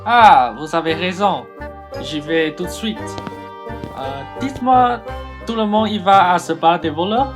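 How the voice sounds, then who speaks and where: A woman's voice: lowish voice, animated, measured pace, over music. A woman playing a bumbling, hesitant male thief in a student radio play. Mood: feigned eagerness.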